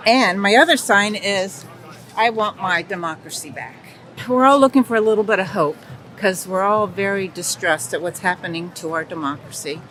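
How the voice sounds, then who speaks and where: American lady, sharp bright voice, fired up street interview, faint street noise. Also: medium pitch, normal speed. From Virginia, United States. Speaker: protester interviewee. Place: outdoors at a protest.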